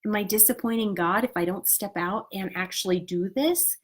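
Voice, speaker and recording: A woman's voice: mid-range voice, moderate pace, husky. A woman giving casual motivational spiritual coaching to an online group. She talks outdoors, sounds stuffed up and slightly nasal, and speaks earnestly and encouragingly with frequent "you know" and "right?".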